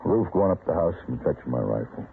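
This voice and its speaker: An actor playing a stubborn, gruff rancher with a rural American accent in a radio western. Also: male voice, a bass voice, expressive, a little fast, exaggerated voice. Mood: grieving, gruff. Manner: gruff, blunt. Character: bitter, stubborn, suspicious frontier horse rancher, a father. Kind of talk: old-time radio western drama acting. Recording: muffled, faintly noisy old radio recording.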